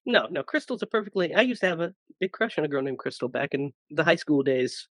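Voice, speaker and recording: A man in his thirties hosting a casual podcast, hoarse from a cold and joking around with his co-hosts. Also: fairly high pitch, animated, quick pace, fairly bright tone, dry recording. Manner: joking, laughs often. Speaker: podcast host.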